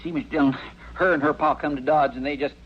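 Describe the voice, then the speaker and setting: A man's voice: fairly high pitch, steady tone, fast talker, close to an everyday voice. A man acting a flustered, folksy jail deputy in an old radio western, with a rural American western drawl and a stammering, rambling way of talking. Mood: flustered, pleading. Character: flustered, put-upon male frontier jail deputy, folksy and hapless. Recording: muffled old radio recording, faint hiss.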